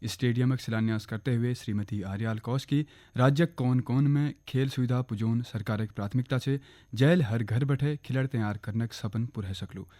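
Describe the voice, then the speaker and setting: A man's voice: mid-range voice, dark tone. A man with a Kumaoni accent reading a scripted regional radio news bulletin.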